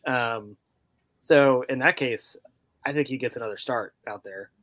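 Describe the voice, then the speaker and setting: A man's voice: medium pitch, unhurried, a bit bright. An American man co-hosting a soccer podcast, chatting informally as he gives his take on matches. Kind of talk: casual chat, match analysis.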